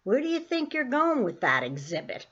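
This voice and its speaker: A blunt, wary city bus driver, voiced by a woman reading a storybook aloud, gruff and full of questions. Her voice lifts a little higher and louder for him, on a slightly dull recording. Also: wildly swooping pitch, normal speed, heavily acted. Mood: suspicious.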